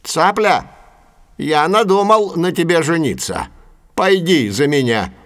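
A man voicing a lonely, proud crane suitor in a folk tale, speaking with slow emphasis. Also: on the high side, lively intonation. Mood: earnest proposing.

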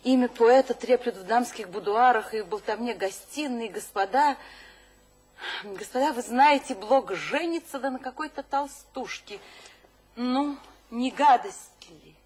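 An actress playing an imperious, fervently mystical literary salon hostess in an audio drama, with theatrical declamation and dramatic pauses. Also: female voice, a little high, darkish tone, husky. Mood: exalted, scornful. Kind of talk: audio drama stage acting.

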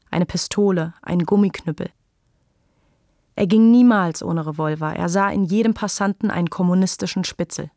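A woman's voice: medium pitch, gravelly, a little mellow, dry recording, studio quality. A woman reading scripted literary narration as the narrator of a radio play.

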